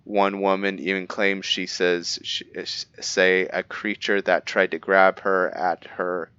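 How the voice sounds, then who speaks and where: Give me a man voicing a brooding vampire assassin reading his journal in a deep, smooth, measured voice. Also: little pitch movement, sharp tone, no room sound, highs cut off. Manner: measured, stumbles now and then over words while reading. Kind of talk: reading in-character journal entries for a game roleplay.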